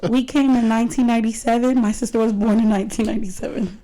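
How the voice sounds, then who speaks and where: A woman's voice: a little high, steady tone, quick pace, warm tone, a little hiss or hum, poor audio. A woman from Haiti, a police officer and mother, chatting as a podcast guest in a studio. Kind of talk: casual interview.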